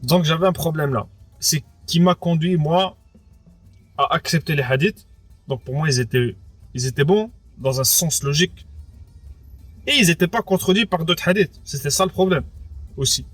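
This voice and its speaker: A man's voice: fairly high pitch, moderate pace, long pauses, a bit bright, no room sound, faint music behind. A young French man of Moroccan background, giving a casual personal testimony. He hesitates a lot, repeats words and leans on fillers. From France, of Moroccan background. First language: Arabic speaker as well. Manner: hesitant, repeats words, many fillers like "entre guillemets" and "quoi". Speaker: interviewee.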